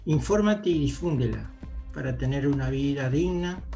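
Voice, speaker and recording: Man in his 70s, gravelly, dark tone, steady plain read. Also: medium pitch, moderate pace, faint music behind. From Uruguay. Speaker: older rights advocate. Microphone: video call webcam.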